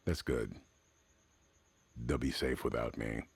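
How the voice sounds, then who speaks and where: A man plays a broken-down war robot with a fatherly, plaintive longing. The voice is very low and gravelly, slow and gentle, in a dry radio drama recording. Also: fairly even intonation, no room sound, heavily acted. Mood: resigned, peaceful. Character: decaying male war robot, gentle deluded father, wistful.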